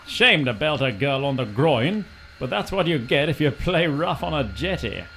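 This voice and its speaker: A man's voice: on the high side, sing-song, normal speed, creaky, a bit bright, slight background noise. A man in an amateur comic radio play voicing a plummy, stiff-upper-lip British flying ace with clipped upper-class bluster. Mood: indignant.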